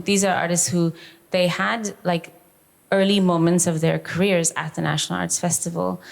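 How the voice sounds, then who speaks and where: A woman's voice: sits low, vocal fry, darkish tone. A woman and festival curator answering on a public talk panel, thoughtful and searching for her words. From South Africa.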